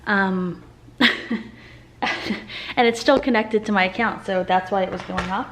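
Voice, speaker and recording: A woman's voice: mid-range voice, slow pace, fairly bright tone. A woman in her thirties with an American accent, a mother vlogging in a casual, informal, rambling chatty style. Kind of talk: casual chat to camera. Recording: noisy home-video sound with clicks.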